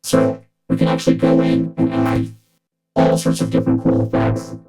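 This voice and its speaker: A man's voice: normal speed, music in the background. A man speaking through a vocoder as a friendly synthesizer robot, with a synthetic, chord-toned voice in a casual demo. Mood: admiring, demonstrative. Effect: vocoder robot filter.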